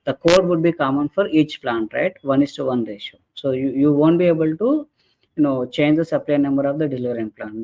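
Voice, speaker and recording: A man presenting an explanatory software training webinar in a halting way, often checking in with short tag questions. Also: mid-range voice. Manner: halting, repeatedly checks with "right?" and "okay". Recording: dull lo-fi webinar audio.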